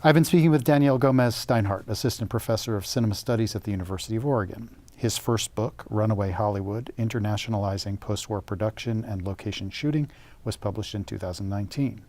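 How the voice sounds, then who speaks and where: Male host, low-ish voice, fast brisk delivery. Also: a little mellow. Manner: brisk, scripted wrap-up. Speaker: interview show host. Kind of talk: interview hosting.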